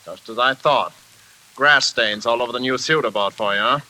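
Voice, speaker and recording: A man's voice: mid-range voice, normal speed, bright, forceful tone, poor audio. An actor playing a bitter, bullying invalid father who scolds and barks orders, in the style of 1940s American radio drama. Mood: gruff, disapproving. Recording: hissy vintage radio recording.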